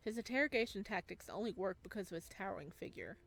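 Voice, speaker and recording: A woman's voice: mid-range voice, animated, fast talker, vocal fry, a bit bright. Woman reading a story aloud expressively. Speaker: let's-play host reading a visual novel aloud. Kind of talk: expressive read-aloud narration with casual asides.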